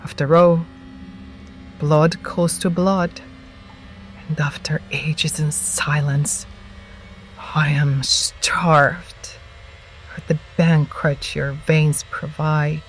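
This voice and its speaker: A slow, low-voiced ancient vampire witch. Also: flat delivery, slight background noise, low-quality recording. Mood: hungry menace. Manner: slow, taunting, theatrical menace. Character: ancient starved vampire witch, cruel, mocking, menacing. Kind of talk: audio drama acting.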